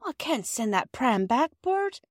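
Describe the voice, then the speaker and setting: A woman's voice: medium pitch, normal speed, no echo, clean studio sound, close to an everyday voice. Woman acting a blunt, scolding working wife. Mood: firm. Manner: blunt, scolding dialect speech. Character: firm, scolding working-class wife and mother.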